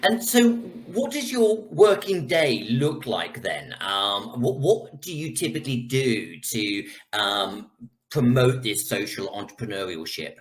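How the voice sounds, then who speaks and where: A man's voice: mid-range voice, very animated, slow pace. A man hosting a live online interview in a polite, slightly hesitant way. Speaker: host.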